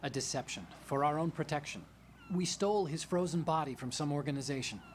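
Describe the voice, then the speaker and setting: An actor playing an earnest male engineer ally who explains things in a measured way. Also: a little high, a little hiss or hum, close to an everyday voice.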